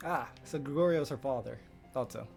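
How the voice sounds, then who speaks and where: A man's voice: medium pitch, fairly quick, gravelly, a little mellow. A man giving casual commentary on a game as he live streams it. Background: game music.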